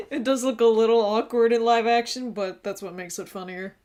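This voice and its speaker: A woman's voice: on the high side, darkish tone. A woman chatting casually as she streams a game, easygoing and giggly.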